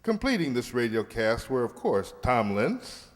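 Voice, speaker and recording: A man's voice: mid-range voice, very animated, unhurried. A man announcing scripted closing credits in the style of an old-time radio show. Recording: reverberant hall, clear noise.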